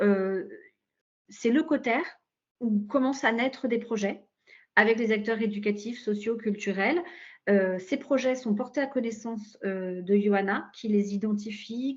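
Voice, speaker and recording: Femme hésitante, son de visioconférence terne. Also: female voice, fairly high pitch. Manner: halting, searching for words. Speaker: local government cultural policy official, panel speaker. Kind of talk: explanatory webinar presentation. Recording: dull, lo-fi online call audio.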